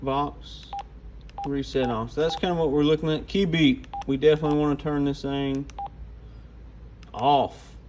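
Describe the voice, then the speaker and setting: Higher-pitched casual man over background noise. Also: steady tone, normal speed, long pauses, a little mellow. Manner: informal, off-the-cuff. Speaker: radio gear reviewer. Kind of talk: casual hands-on product walkthrough.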